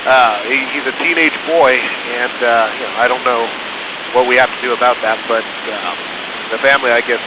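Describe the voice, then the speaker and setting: A male airline pilot talking to ramp control over the cockpit radio, halting and full of pauses and fillers. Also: medium pitch, steady tone. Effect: aviation radio transmission. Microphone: cockpit headset radio. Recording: muffled radio with loud hiss.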